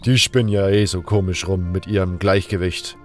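A man's voice: low-pitched, steady tone, normal speed, a little mellow, faint music behind, close to an everyday voice. A man voicing a blunt, unschooled adventurer in an audio drama, rambling along in a colloquial, unbothered way. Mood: musing, offhand. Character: gruff, carefree male adventurer, unschooled and blunt. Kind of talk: fan audio drama dialogue.

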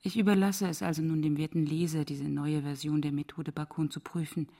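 Sardonic woman scholar, creaky voice. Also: low voice, flat delivery, brisk, dark tone. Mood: cool, mocking. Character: defiant, sardonic woman scholar, coolly provocative. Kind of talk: radio play acting.

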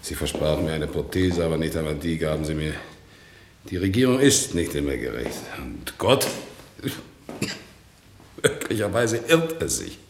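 An actor playing a worn, bitter, defiant old war veteran in a German radio play, speaking in a brooding, deliberate way. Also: male voice, extremely low pitch, expressive, a little hiss or hum, heavily acted. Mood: bitter, questioning. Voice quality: gravelly, husky. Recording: 1960s radio play recording.

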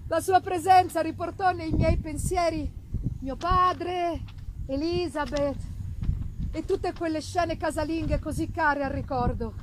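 High-pitched woman reading Gothic fiction theatrically. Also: fairly even intonation, slow, background noise. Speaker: reader performing a literary text. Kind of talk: dramatic audiobook-style reading of a Gothic novel.

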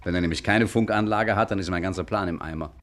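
An actor playing a cool, scheming gangster in a 1950s satirical radio drama. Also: male voice, deep voice, fast, close to an everyday voice. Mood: cool, instructive. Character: cool, scheming male gangster planning a trap. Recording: noisy old radio recording.

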